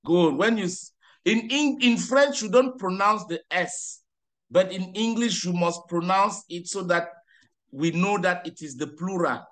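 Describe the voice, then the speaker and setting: Patient male English tutor, slow and high. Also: expressive, a bit bright. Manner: patient, corrects grammar and pronunciation, switches to French to explain. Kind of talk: one-on-one online English lesson.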